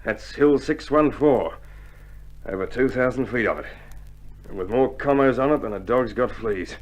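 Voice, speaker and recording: An actor plays a seasoned Australian infantryman of middle age in a radio drama. He is laconic and steady, with a dry way of putting things and a middling male pitch. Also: moderate pace, natural voice. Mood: dry, weary. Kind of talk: 1960s radio drama acting.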